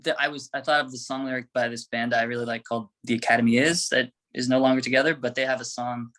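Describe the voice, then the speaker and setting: A man's voice: medium pitch, fairly even intonation, fast, a bit bright. A man speaking casually and conversationally as a band member being interviewed. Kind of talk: casual chat.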